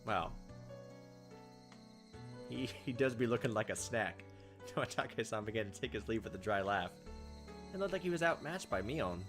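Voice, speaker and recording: A man's voice: lowish voice, expressive, normal speed, pauses often, over music. A young man reading a visual novel aloud as a let's player, with casual, wry commentary.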